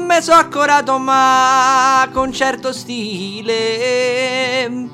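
A man with a Roman accent singing wry, drawn-out songs to guitar between the chapters of a radio play. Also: extremely high pitch, pushed and bright. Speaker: singer and guitarist. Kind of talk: slow ballad singing with guitar.

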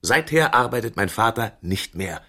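Stubborn bitter middle-aged dad, radio drama, raspy low voice, short emphatic lines. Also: male voice, big pitch swings, moderate pace, bright, forceful tone, heavily acted. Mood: bitter, agitated. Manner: insistent, terse, emphatic repetition. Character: stubborn, embittered unemployed father, middle-aged programmer.

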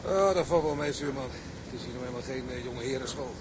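An actor playing a rough, wry tugboat deckhand boss in a radio drama, knowing and bossy. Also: male voice, mid-range voice, fast talker, heavy background noise, natural voice. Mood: wry warning. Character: rough, wry tugboat bosun showing newcomer aboard.

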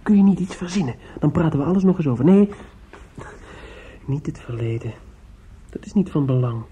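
An actor in a Dutch radio play playing an earnest young suitor, protective and quietly vengeful, probing and insistent with some hesitation. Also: male voice, fairly high pitch, expressive, fairly quick, a little hiss or hum, lightly acted. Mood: tender, soothing. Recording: muffled, lo-fi old radio recording.

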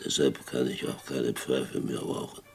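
An actor playing a broken, guilt-ridden, whisky-drinking former sheriff, telling his story slowly and bitterly in a radio play. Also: very deep voice, level tone, exaggerated voice. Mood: heavy, remorseful. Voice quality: very gravelly. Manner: slow, brooding, bitter.

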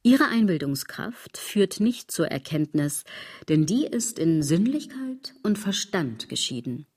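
An actress playing a sardonic, restless woman in a radio drama, lecturing the listener with emphatic irony in a husky voice. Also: on the low side, big pitch swings, unhurried, a little mellow, dry recording, studio quality, exaggerated voice. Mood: mocking, emphatic.

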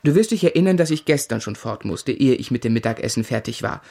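A male actor playing an earnest, gently exasperated young husband in a literary radio play, measured and articulate. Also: medium pitch, expressive, gravelly, no echo. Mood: patient, exasperated. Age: young adult.

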